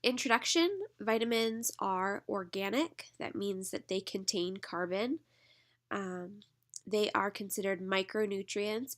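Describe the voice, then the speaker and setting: A woman teaches a recorded slide lecture, her voice thick and stuffed up from allergies. Her mid-pitched voice has a gravelly edge, and she stops often on a drawn-out "um" as she explains. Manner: lots of "um" fillers, relaxed explaining. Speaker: instructor.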